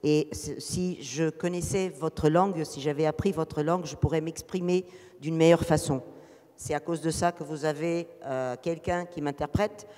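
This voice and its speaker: Man interpreting live, kinda high voice, lots of uh's, long hall echo. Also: steady tone, moderate pace, dark tone. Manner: hesitant, frequent filler "euh". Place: ceremony hall. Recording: long reverb in a big hall.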